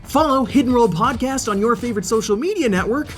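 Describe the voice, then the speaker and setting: A man's voice: very high voice, lively intonation, fast. A man co-hosting a podcast, giving an upbeat scripted promo read. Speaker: podcast co-host. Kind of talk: scripted show intro. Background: faint music.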